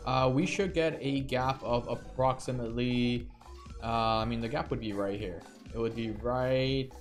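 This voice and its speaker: A man hosting a casual crypto trading livestream, rambling with lots of fillers and restarts. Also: mid-range voice. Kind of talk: casual live stream commentary.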